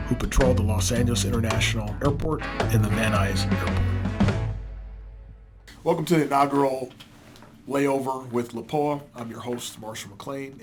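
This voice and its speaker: A man hosting a podcast interview, asking relaxed, teasing questions. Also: mid-range voice. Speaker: podcast host.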